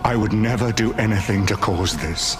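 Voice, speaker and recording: A man's voice: fairly low pitch, moderate pace, lightly acted. An actor playing a defiant, anguished warrior who searches hell for his beloved, in an impassioned, dramatic manner. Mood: pleading. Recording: loud music over the voice.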